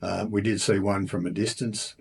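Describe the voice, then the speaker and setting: Husky Australian man with a casual travel chat. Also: lowish voice, moderate pace. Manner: relaxed, chatty, many ums and uhs, self-mocking. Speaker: travel vlogger.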